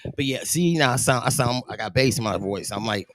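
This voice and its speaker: A man's voice: mid-range voice, fairly quick, no echo. Male podcast co-host, rambling and profane, dry close mic. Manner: loose, rambling, profane, animated. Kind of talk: casual podcast chat. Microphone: studio podcast mic.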